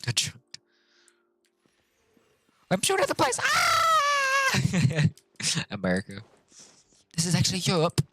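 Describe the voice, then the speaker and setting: A man live streaming a game, reacting casually in an excitable, playful way. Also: extremely high pitch, slow, pauses often. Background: game music.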